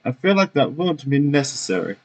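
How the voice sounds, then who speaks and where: A man's voice: medium pitch, big pitch swings, a little fast. Casual male gamer with mid-range voice. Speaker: gamer, let's player. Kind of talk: casual gameplay commentary. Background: game music.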